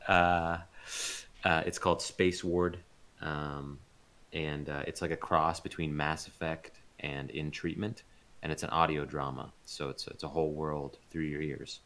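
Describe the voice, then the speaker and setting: A man's voice: extremely low pitch, level tone, a little slow, fairly bright tone. A man talking casually and informally as an interview guest. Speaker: interview guest, voice actor and audio drama producer.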